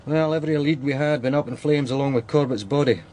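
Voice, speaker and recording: A man's voice: medium pitch, fairly even intonation, fast, darkish tone, slight background noise, natural voice. A seasoned Scottish police sergeant played by a man in a radio crime drama. His mid-range voice is reserved and matter-of-fact, with a faint ambience behind it. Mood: grim, matter-of-fact. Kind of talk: naturalistic radio drama acting.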